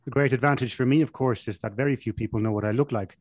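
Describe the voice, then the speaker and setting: A man reading a scripted comic monologue aloud in a deadpan, self-satisfied manner. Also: medium pitch, quick pace, no room sound, clean studio sound.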